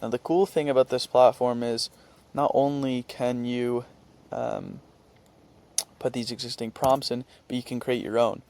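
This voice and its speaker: A man presenting an informal screen-share tutorial, talking haltingly as he thinks aloud and reads out what he types. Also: medium pitch, fairly even intonation, a little mellow. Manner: halting, thinking aloud while typing and reading out script.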